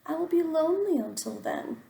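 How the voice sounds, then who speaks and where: Woman as an eager high-pitched storybook frog. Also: sing-song, brisk, gravelly, roomy sound, exaggerated voice. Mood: sad pleading. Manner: calls out brightly, coaxing. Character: eager, cheerful young male frog friend, excitable and pleading. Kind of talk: children's storybook read-aloud.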